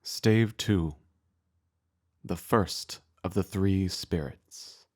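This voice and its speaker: A man giving solemn, deliberate dramatic narration of a story. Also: sits low, a little mellow.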